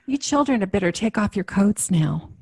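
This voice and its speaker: A woman's voice: medium pitch, lively intonation, moderate pace, darkish tone, breathy edge. An actress playing a sensible, anxious but polite family mother in a radio sitcom. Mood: concerned.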